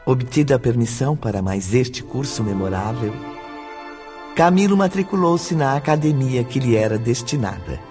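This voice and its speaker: Narrador de radionovela, homem de entonação expressiva. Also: male voice, medium pitch, normal speed. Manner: expressive, formal. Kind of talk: scripted radio drama narration. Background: clear background music.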